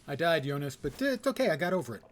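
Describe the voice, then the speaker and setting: A man's voice: fairly high pitch, very animated, fast. A man streaming a game, giving casual commentary and muttering his thoughts aloud to himself. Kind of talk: casual live gameplay commentary. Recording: clear background game noise.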